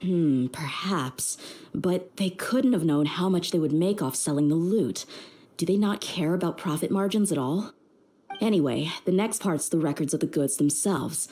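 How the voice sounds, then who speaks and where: A woman's voice: on the low side, animated, moderate pace, dark tone, breathy edge. A woman voicing a tough, confident young female mercenary with a blunt, streetwise manner in a video game. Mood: puzzled, suspicious. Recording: music behind the voice. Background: game music.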